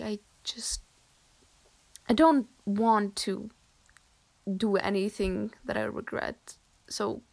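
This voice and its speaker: A young woman voicing an irritated young woman who bluntly rebuffs a persistent admirer, with sarcasm and frequent breathy whispers. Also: medium pitch, darkish tone. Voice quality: often drops to a breathy whisper. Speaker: voice roleplayer. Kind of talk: improvised audio roleplay.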